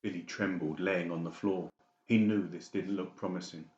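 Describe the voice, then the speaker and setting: A man with a low voice narrates a dramatic audiobook from a script. The recording has noticeable room echo and a dull, muffled tone. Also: fairly even intonation, normal speed, a little mellow. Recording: muffled, echoey home recording.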